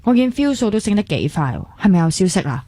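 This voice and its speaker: A woman's voice: medium pitch, big pitch swings, fast, warm tone, no echo. A woman with a Hong Kong Cantonese accent acting a caring young hospital worker in a radio drama, speaking earnestly and conversationally with some English words mixed in. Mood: curious, familiar. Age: young adult.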